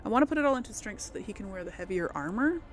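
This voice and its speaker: A woman's voice: medium pitch, expressive, racing. Female gameplay host, informal think-aloud commentary, mid-range voice, audible background noise. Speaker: gaming video host. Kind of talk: casual game commentary.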